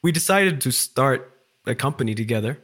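Male guest in his forties from Ethiopia, a CEO with a mid-range voice and a relaxed, reflective storytelling manner. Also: mid-range voice, normal speed. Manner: relaxed, reflective, with occasional fillers. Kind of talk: conversational storytelling.

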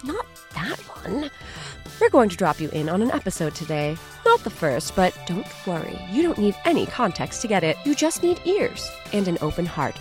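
A woman's voice: mid-range voice, big pitch swings, normal speed, soft background music. Playful woman host with swooping intonation. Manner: playful, theatrical, punning. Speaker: podcast host. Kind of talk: scripted comic introduction.

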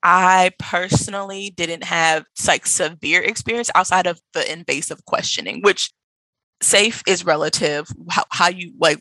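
A young woman with an American accent speaks in a candid, conversational storytelling style. Her voice is bright and forward with noticeable vocal fry, recorded close and dry. She is animated and emphatic as she talks. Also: lowish voice, no room sound, low-quality recording. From United States. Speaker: podcast guest, travel blogger.

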